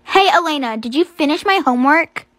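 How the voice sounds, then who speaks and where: A woman's voice: sits high, expressive, a little fast, a bit bright, no room sound. A woman voicing a spoiled, bossy teenage stepsister with a dismissive, whiny manner.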